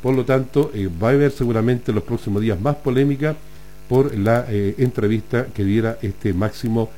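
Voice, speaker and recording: A man with a Chilean accent hosting a radio show, talking casually through the news and often adding quick tag questions. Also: mid-range voice, warm tone. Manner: chatty, keeps adding tag questions like "ah?" and "no es cierto?". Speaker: radio host.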